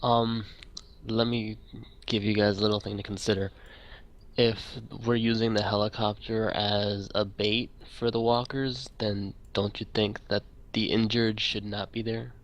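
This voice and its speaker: Monotone, low-voiced man. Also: measured pace. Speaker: role-play game player. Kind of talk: casual discussion in a game.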